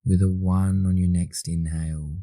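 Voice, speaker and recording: An Australian man leading a guided meditation in a soothing manner, leaving long pauses between slow instructions. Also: sits low, little pitch movement, mellow, no room sound, studio quality.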